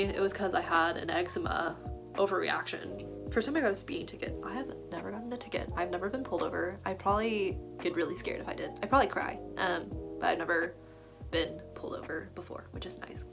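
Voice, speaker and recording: A woman's voice: medium pitch, fast talker, vocal fry, music in the background. A woman in her twenties chatting casually to camera as a vlogger, filling her sentences with "like" and small laughs, raised speaking Chinese at home. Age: 20s. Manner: chatty, heavy use of "like", giggly asides. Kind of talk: casual chat.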